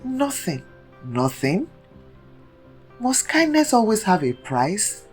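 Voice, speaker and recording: A woman voicing a weak old tortoise in a folktale, pleading and gently reproachful. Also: medium pitch, big pitch swings, slow pace, faint music behind, voice pushed up.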